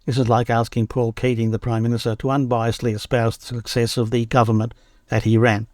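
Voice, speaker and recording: A man's voice: mid-range voice, level tone, a little fast, darkish tone, dry recording, studio quality. A man reading a magazine article aloud in a wry, opinionated way.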